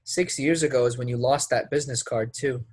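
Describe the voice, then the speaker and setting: A man's voice: mid-range voice, brisk, darkish tone, husky, dry recording, lightly acted. Blunt young actor with a grudge, played by a man. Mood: accusing, wounded. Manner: blunt, casual, clipped. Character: brash, resentful young male actor with a grudge. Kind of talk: play readthrough acting.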